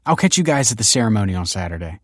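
A man's voice: lowish voice, sing-song, racing, dry recording, studio quality. A man voicing a woman clinic director who stays polite, clipped and controlled under tension. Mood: guarded.

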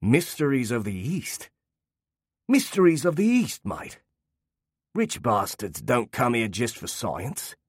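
A man's voice: mid-range voice, sing-song, takes it slowly, breathy edge, no echo, clean studio sound, exaggerated voice. A man voicing a sly, worldly old male memory-keeper who speaks with a broad Australian accent and a teasing, jaunty lilt. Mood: mocking.

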